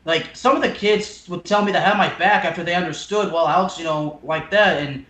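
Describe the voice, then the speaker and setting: A high-voiced man from Texas co-hosts a podcast, speaking earnestly with heavy emphasis. His voice carries a roomy echo. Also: brisk, a bit bright. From Texas, USA; born in France. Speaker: podcast co-host. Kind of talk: casual podcast interview.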